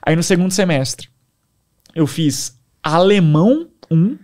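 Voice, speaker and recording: A young man with a Brazilian Portuguese accent, a podcast guest telling stories in a casual, animated way. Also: on the high side, slow. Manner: animated, enthusiastic storyteller. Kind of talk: casual storytelling.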